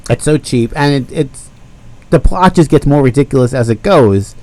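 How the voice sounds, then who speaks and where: Mellow-voiced young man, easygoing banter. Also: mid-range voice, expressive, moderate pace. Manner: easygoing, dry jokes. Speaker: podcast co-host. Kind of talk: casual movie chat.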